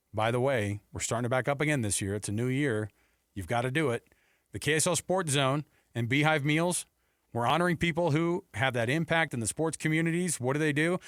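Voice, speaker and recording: A man's voice: mid-range voice, a bit bright, no echo, studio quality. An American man hosting a sports talk radio show in a casual, conversational way.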